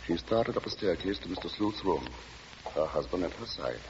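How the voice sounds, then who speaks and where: An actor playing the narrator of a radio suspense tale, telling it in a measured, ominous, literary way. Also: male voice, low-pitched, expressive. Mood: measured, wry. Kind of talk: old-time radio drama narration. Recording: dull, noisy, reverberant old radio recording.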